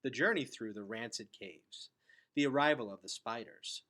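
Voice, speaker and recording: A man reading a children's novel aloud in an expressive storytelling style. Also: medium pitch, moderate pace. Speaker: read-aloud reader.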